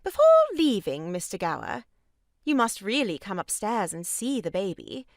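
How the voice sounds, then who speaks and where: A woman's voice: medium pitch, sing-song, moderate pace, no echo, clean studio sound. A woman playing an insistent, theatrically pious lady in a stage farce, with a dramatic, mannered delivery. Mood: coaxing.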